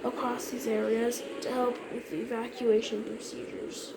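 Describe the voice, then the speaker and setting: Higher-pitched woman reading a noisy emergency alert. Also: normal speed. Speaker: emergency broadcast announcer. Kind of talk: scripted mock emergency alert narration. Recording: noisy recording with steady background noise.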